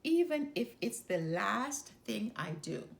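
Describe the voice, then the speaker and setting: A hungry storybook wolf as a woman reader puts him on, menacing and boastful. The taunts are growled and drawn out at a slow pace, with big swings in pitch and a gravelly creak. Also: sing-song, exaggerated voice. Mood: angry menace.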